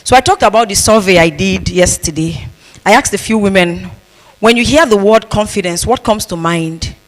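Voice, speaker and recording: A woman's voice: mid-range voice, moderate pace. A woman pastor giving a conference talk, telling stories with humor and repeating phrases for emphasis. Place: conference hall. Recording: long reverb of a large hall.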